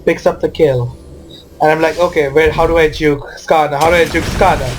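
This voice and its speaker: A man's voice: on the high side, fairly even intonation, a little fast. A man giving informal, wry commentary on his own video game match, voicing his reactions as he goes.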